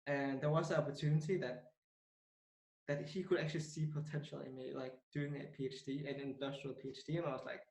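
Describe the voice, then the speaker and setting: A man's voice: medium pitch, flat delivery, a little fast, darkish tone, reverberant, rough recording. A man in his twenties from Denmark, talking casually as a podcast guest, hesitant with many fillers and restarts. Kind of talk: casual interview talk.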